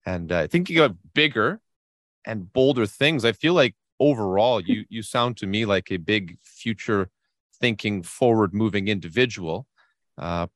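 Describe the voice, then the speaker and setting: A man's voice: lowish voice, animated, measured pace, dry recording, studio quality. A middle-aged man hosting a podcast interview, gushing with praise for his guest. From Canada. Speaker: podcast host. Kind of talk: casual interview.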